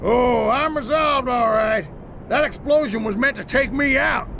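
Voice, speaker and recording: Very high-pitched blustering general. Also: male voice, unhurried, vocal fry, a little hiss or hum, exaggerated voice. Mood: defensive, indignant. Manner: forceful, indignant bluster. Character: blustering, defiant, paranoid American army general. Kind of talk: video game cutscene acting.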